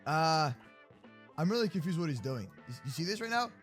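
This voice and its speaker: A man with a bright, high-set voice commentates an improvised game roleplay. His delivery swings widely in pitch and is full of casual slang. Music plays behind him. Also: fairly quick. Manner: animated, slangy, reacting aloud. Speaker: gaming video host.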